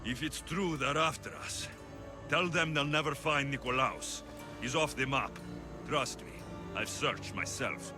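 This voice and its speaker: An actor playing a determined young Greek warrior and protective brother in a video game cutscene, earnest, stubborn and challenging. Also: male voice, mid-range voice, a little slow, music in the background, natural voice. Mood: insistent.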